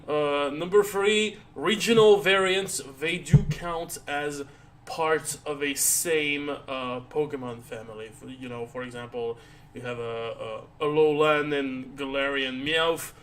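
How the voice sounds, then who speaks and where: A tired man chatting casually on a live stream, rambling and halting with many restarts and fillers. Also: a little high, a little hiss or hum. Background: soft background music.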